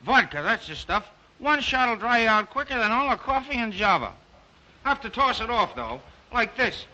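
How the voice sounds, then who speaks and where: An actor playing a carefree, tipsy playboy brother, brash, jovial and slangy, in an old-fashioned drama. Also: male voice, extremely high pitch, very animated, normal speed, pushed and bright, highs cut off, full character voice. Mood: boisterous.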